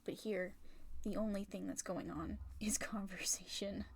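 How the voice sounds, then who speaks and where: A young woman chatting casually and rambling on a livestream while drawing, swearing freely, her voice a little rough and raspy from talking for a long time. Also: medium pitch, steady tone, unhurried. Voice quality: slightly raspy. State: tired, sore throat from long talking. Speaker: art streamer.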